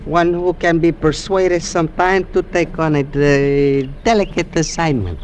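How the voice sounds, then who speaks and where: A man plays a cautious, courtly elderly Peruvian gentleman in a vintage radio play. His voice sits high for a man, with a Spanish accent and careful, polite phrasing. The old recording has some background noise. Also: natural voice. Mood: guarded, courteous. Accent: Spanish-accented English, Peruvian. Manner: formal, deliberate, polite. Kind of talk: old-time radio drama acting.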